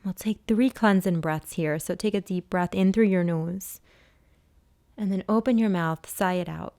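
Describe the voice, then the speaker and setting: Mellow, low-voiced woman guiding meditation. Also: no echo, studio quality. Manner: soothing, hushed, unhurried instruction with long pauses. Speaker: yoga and meditation teacher. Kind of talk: guided body scan meditation.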